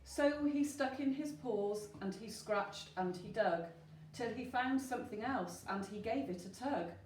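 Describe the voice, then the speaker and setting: Unhurried woman reading in a roomy space. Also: fairly high pitch. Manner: expressive, asks the listeners questions. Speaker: storyteller at a school. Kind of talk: rhyming children's story read aloud. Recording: roomy, distant mic with faint noise.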